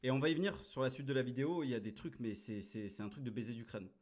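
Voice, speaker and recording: Brief: man, 30s, host, blunt and crude, mid-pitched, casual reaction talk. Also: lively intonation, quick pace, a little mellow.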